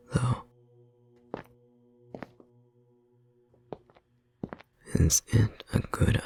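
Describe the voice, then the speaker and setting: A man playing an ancient imprisoned godling, resigned and quietly menacing, in a breathy, creaky whisper for a horror audio roleplay. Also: on the low side, exaggerated voice. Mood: quiet menace. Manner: slow, measured, quietly menacing. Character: ancient imprisoned male godling, resigned, eerily calm, wounded.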